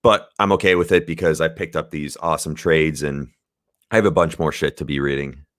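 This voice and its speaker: Very deep raspy young man. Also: fairly even intonation, brisk, a bit bright, dry recording, studio quality. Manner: rambling, laid-back, swears casually. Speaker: podcast host. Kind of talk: casual livestream chat.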